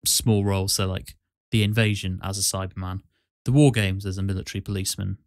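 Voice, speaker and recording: A man hosting a casual podcast, explaining things in a halting, conversational way. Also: deep voice, fairly even intonation, no room sound, studio quality. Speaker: podcast host and amateur voice actor.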